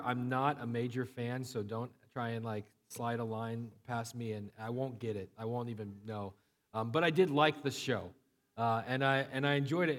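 A man preaching a sermon while he has a cold. His voice is low and flat, and he talks conversationally with many fillers and restarts. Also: in a large hall. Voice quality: low, monotone voice. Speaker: pastor.

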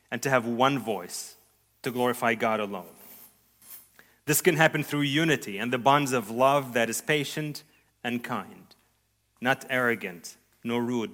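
A man preaching a sermon in an earnest, measured way, his voice rising on key phrases and trailing off softly at the ends of thoughts. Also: medium pitch. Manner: earnest, measured preaching with sweeping rises and soft trailing endings. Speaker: pastor.

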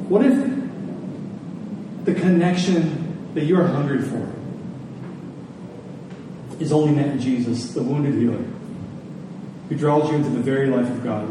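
A man preaching a church sermon as a pastor, emphatic and repeating phrases for weight, with conversational asides and rhetorical questions. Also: a little high. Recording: echoey room, distant mic, faint noise.

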